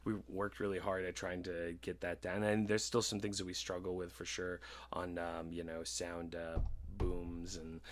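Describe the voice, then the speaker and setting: A man's voice: deep voice, flat delivery, normal speed, few pauses, soft background music. A man co-hosting a podcast in casual chat, rambling and agreeable, with hesitant phrasing and some loose swearing. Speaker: podcast co-host.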